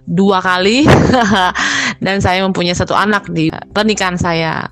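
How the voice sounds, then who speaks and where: A woman's voice: medium pitch, unhurried, fairly bright tone. A woman, giving a casual personal testimony. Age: 30s.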